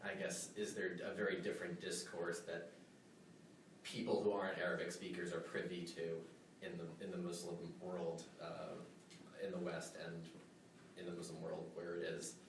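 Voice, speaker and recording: Deep-voiced man asking from far off. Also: level tone, moderate pace. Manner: hesitant, rambling, many fillers. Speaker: audience questioner. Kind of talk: asking a question from the audience. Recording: distant, very echoey hall with noise.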